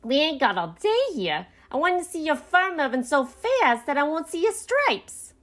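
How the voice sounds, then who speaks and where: A woman voicing a bossy male raccoon bully in a children's story, yelling orders and threats like a wiseguy. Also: high-pitched, very animated, moderate pace, pitched above the performer's own voice. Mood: scornful, hurrying. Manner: shouting orders, threatening, New York wiseguy phrasing.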